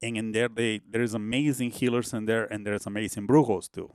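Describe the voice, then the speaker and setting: A man's voice: lowish voice, steady tone, a little fast, clean tone, no echo, clean studio sound. A man in his fifties from Peru with a Peruvian Spanish accent, a shaman guest in a casual podcast interview, rambling and often asking "you know what I mean?". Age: 50s.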